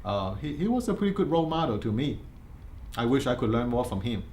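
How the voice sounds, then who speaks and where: Middle-aged man, Hong Kong accent, interview subject, earnest, halting, filler-heavy, mid pitch, faint hiss behind. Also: very animated, darkish tone. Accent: Hong Kong Cantonese. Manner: earnest, many fillers and restarts. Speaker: insurance agency head. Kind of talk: interview life story.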